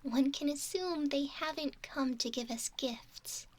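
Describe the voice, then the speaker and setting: A woman voicing a gentle, curious young mermaid in a soft, often whispery ASMR roleplay, soothing and polite. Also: high voice, unhurried.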